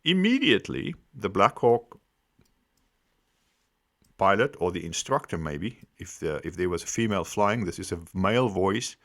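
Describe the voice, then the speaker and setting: Deep-voiced man, animated explainer. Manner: emphatic, deliberate, repeats phrases for stress. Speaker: host giving accident analysis. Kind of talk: explanatory commentary, unscripted.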